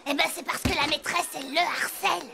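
A woman voicing an excitable, timid and teasing young schoolboy sidekick in a cartoon, childlike and exclamatory. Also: sits high, quick pace, vocal fry, over music. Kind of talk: animated cartoon dubbing in French.

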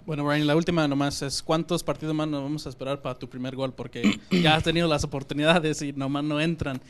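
A man's voice: a little high, fairly even intonation, fast, clean tone. A male reporter asking a player questions at a press conference, informal and restarting his phrasing.

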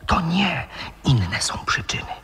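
An actor playing a sly, gently teasing bird-catcher from opera in a 1960s radio drama, hushed and insinuating, with a creaking, whispery voice. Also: male voice, a little high, very animated, slow pace, slight background noise, full character voice. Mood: secretive. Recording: reverberant 1960s radio play recording.

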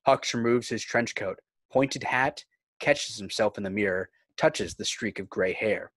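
A man's voice: lowish voice, measured pace, fairly bright tone, dry recording. Dry-sounding male script narrator. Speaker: narrator reading stage directions. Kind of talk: scripted narration at a table read. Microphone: video call.

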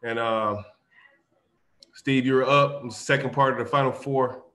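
Guy in his 30s hosting a zoom panel, encouraging and thankful, mid-pitch voice. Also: steady tone, measured pace, sharp tone. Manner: grateful, encouraging. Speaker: host. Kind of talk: hosting a panel video call. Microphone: webcam on a video call.

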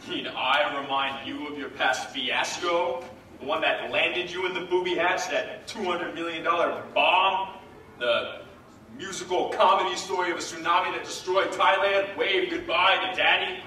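An actor playing a brash, insulting show producer who talks bluntly. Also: male voice, on the high side, steady tone, normal speed, bright, forceful tone, a little hiss or hum. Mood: blustering. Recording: echoey stage from far off.

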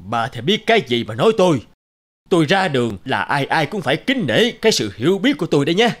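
An actor playing a pompous, stubborn, self-important rich village man in an animated folktale. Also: on the high side, quick pace. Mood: smug.

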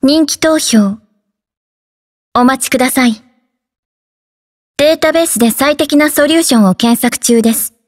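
A woman voicing a methodical female android assistant in a game, speaking formally and in measured phrases. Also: sits high. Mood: polite, composed. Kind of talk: game character voice lines.

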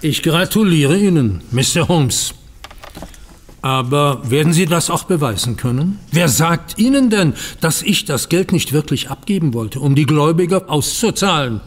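An actor in a German audio drama playing a vengeful, self-righteous banker, speaking formally and bitterly. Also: male voice, on the high side, lively intonation, moderate pace. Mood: controlled, challenging.

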